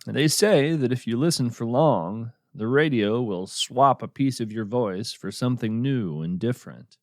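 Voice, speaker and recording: A man playing a wry, musing naturalist who hosts a radio show of the uncanny, narrating in a measured, contemplative, folksy way. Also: mid-range voice, lively intonation, no echo, studio quality. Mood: wry, reflective. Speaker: podcast host and narrator. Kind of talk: scripted narration, reflective storytelling with poetry reading.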